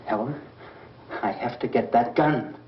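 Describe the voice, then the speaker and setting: A man's voice: medium pitch, unhurried, lightly acted. An actor playing a tense, irritable man trapped indoors in an old horror film. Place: indoors, farmhouse room. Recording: echoey, dull, noisy old film sound.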